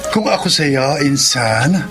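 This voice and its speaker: Animated, supportive young Filipino cousin. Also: male voice, on the high side, slow, loud music behind the voice. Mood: encouraging. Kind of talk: radio drama acting.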